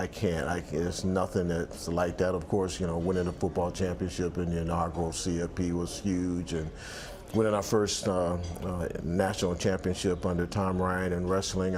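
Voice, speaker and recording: A man's voice: low voice, a little mellow. A man in his sixties, an athletic director, talking reflectively in a sit-down interview on an outdoor balcony, with many restarts and fillers. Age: 60s.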